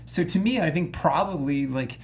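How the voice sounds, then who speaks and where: A man's voice: on the high side, animated, moderate pace. A man giving emphatic, opinionated commentary in casual, slangy language as a host in a panel discussion about gaming. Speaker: gaming talk show host.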